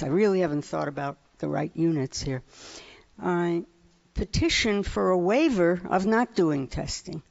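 A woman in the audience of a conference hall, speaking earnestly and haltingly into a handheld microphone. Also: on the low side, gravelly, a little mellow. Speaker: audience member asking a question. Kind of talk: audience question at a panel. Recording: dull audio in a large reverberant hall.

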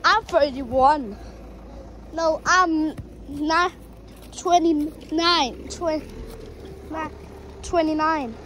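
A woman with a high, light voice chats playfully through a home vlog, rambling and tripping over her words. She speaks slowly. Clear background noise runs under the recording. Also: a bit bright. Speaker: vlogger. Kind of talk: casual vlog chat.

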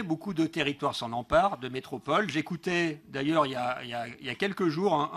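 Middle-aged male councillor, slightly high-pitched husky voice, formal emphatic speech read into a desk mic. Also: fairly bright tone. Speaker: local elected councillor. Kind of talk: prepared political speech at a council meeting. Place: large council meeting room, indoors. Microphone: gooseneck desk microphone.

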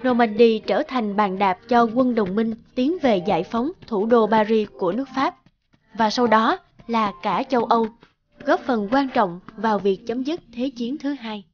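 High-pitched woman narrating a story. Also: normal speed, highs cut off.

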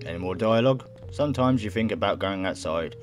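A man's voice: on the low side, animated, a little fast, a little hiss or hum. A young man reading game text aloud and reacting casually, as in a let's play. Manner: stumbles over words while reading. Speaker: gaming video host. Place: small room at home, indoors. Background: game music.

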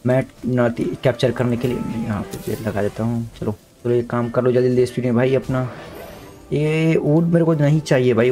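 A young man with a sore throat giving chatty, slangy let's-play commentary, now and then shouting at the game characters. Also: medium pitch. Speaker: gaming YouTuber.

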